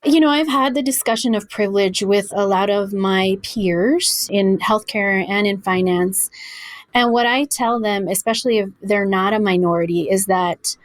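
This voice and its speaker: A woman from El Paso, Texas, answering interview questions in an earnest, measured way. She lingers on the words that matter. The recording is close and dry, with no room sound. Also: medium pitch, no echo. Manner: measured, earnest explaining, slows on key words. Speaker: guest.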